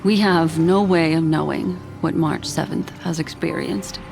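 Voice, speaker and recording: A woman playing a composed, enigmatic memory keeper in a video game, speaking formally and in a measured way. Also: deep voice, creaky, music in the background. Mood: calm, grave. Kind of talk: video game character voice acting.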